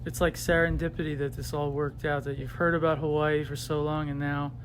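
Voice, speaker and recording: Deep-voiced woman interviewer. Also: flat delivery, a little fast, background noise. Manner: encouraging, short reactions, laughs often. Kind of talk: casual interview.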